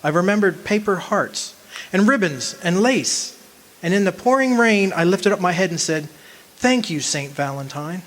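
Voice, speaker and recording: A man's voice: sits high, animated, moderate pace, a bit bright. A man acting in a radio drama as an earnest, homesick country man, with a wistful, folksy way of talking. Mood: wistful, solemn. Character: homesick young man of twenty-five, lovesick, earnest, remembering. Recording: noisy live amateur stage recording.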